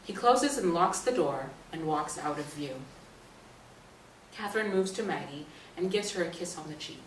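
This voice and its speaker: A woman's voice: on the low side, lively intonation, normal speed. A woman narrating scripted stage directions at a play reading. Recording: roomy, distant mic, faint noise.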